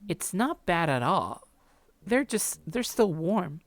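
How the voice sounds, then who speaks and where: Woman putting on a high, sweet, sinister yandere. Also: big pitch swings, takes it slowly, no echo. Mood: creepily sweet. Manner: teasing, composed. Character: sly, unsettling yandere woman, falsely sweet and calm.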